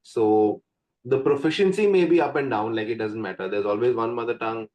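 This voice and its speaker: A man's voice: mid-range voice, brisk, muffled recording. A young man from India with an Indian accent, a Hindi native speaker, talking casually as an English coach in an interview, full of "like" and "right?" fillers. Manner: chatty, frequent "like" and "right?" fillers.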